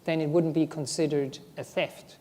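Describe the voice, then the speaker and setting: A woman with a German accent, a Buddhist monastic co-teaching a workshop, explaining in a halting way with frequent "um" and restarts. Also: low-pitched, fairly even intonation, mellow. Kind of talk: workshop discussion. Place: Buddhist centre hall.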